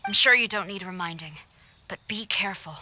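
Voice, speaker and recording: An actress playing a stern, dutiful female army general in a video game, speaking in a formal, measured, authoritative way. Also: mid-range voice, bright, forceful tone, a little hiss or hum. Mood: urgent, commanding.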